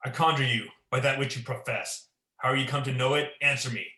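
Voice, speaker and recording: A man's voice: medium pitch, fairly even intonation, a little fast, sharp tone, close to an everyday voice. A young man playing an ambitious, guilt-ridden king in a Shakespearean radio play, declaiming in a commanding, theatrical way. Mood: demanding, defiant. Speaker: student performer. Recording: echoey room.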